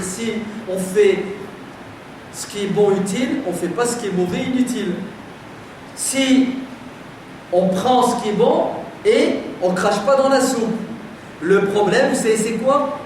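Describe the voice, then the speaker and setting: A man from France giving a sermon to a live audience, firing emphatic rhetorical questions at the crowd in a conversational way. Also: high-pitched. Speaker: preacher addressing a community audience. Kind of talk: lively lecture to a live audience. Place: community centre hall. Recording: very echoey hall, distant, noisy.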